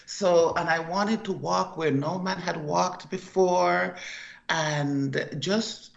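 An older woman, originally from Costa Rica, is interviewed about her book and talks in a chatty, anecdotal way. Her voice has a gravelly fry. The sound is slightly muffled and lo-fi. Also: on the low side, slow pace. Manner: chatty, storytelling, repeats herself. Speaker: guest author. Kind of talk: interview conversation.

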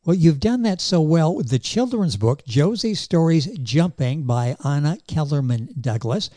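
A male talk show host with a warm, relaxed tone and a pitch that rises and falls freely. He speaks in a genial, upbeat announcer's style. The sound is close and dry, with no room echo. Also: unhurried. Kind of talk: interview show hosting.

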